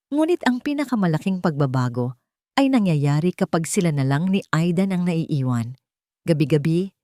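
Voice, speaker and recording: A woman's voice: darkish tone, no room sound, clean studio sound. A woman narrating a romance story in a scripted storytelling style, giving the characters' quoted lines herself. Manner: plays every character herself, shifting pitch for quoted lines.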